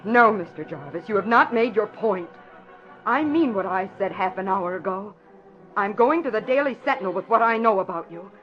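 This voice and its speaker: A woman's voice: medium pitch, expressive, moderate pace, over music, lightly acted. An actress playing an embittered, defiant young woman secretary in a 1940s radio drama. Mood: bitter, defiant. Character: bitter, defiant young woman secretary, later weakly dying. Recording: dull vintage radio broadcast, crowd noise.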